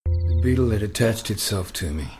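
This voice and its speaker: An Australian man narrating a radio play in a brooding, measured way. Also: fairly low pitch, lively intonation, a little mellow, faint music behind.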